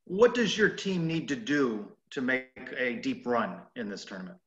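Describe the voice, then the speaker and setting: Male reporter at postgame presser, medium pitch, sharp bright voice. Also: big pitch swings, moderate pace, highs cut off. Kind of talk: press conference questions.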